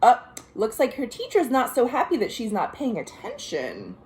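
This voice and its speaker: An American woman, a children's librarian, reading a picture book aloud in an expressive, sing-song way with chatty asides to young listeners. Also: fairly high pitch, normal speed, echoey room, a little hiss or hum. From United States. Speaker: children's librarian hosting a virtual storytime. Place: at home.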